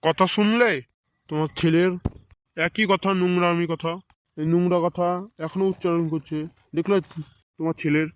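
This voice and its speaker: A man's voice: sits high, fairly even intonation, normal speed, long pauses, clear, resonant tone, no echo, lightly acted. A man voicing a middle-aged village elder in a comedy cartoon, nosy and indignant. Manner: questioning, indignant, repetitive. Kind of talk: comedy cartoon dubbing in colloquial village speech.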